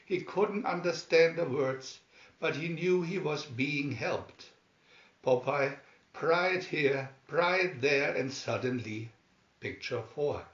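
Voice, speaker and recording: A man reading a children's story aloud in a measured, expressive, scripted narration. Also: on the high side, roomy sound, lo-fi sound. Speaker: storybook reader.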